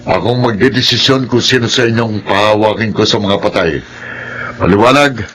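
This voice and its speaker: A deep-toned, commanding boss of a funeral parlour acted by a man in a Filipino radio drama. He speaks with a Filipino accent and gives orders and questions firmly, over faint background noise. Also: moderate pace, fairly bright tone, lightly acted. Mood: stern.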